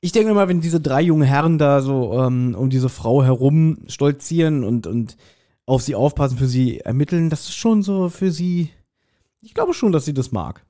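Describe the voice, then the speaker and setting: Male podcast co-host, forties, soft mellow tone, chatty and jokey storyteller. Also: fairly high pitch, expressive, moderate pace. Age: 40s. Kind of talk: casual podcast chat recapping an audio drama.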